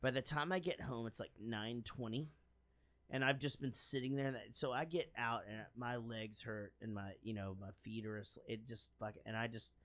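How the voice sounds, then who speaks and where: Excitable, profane man hosting live. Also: medium pitch, moderate pace. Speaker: podcast host. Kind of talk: casual live-stream chat.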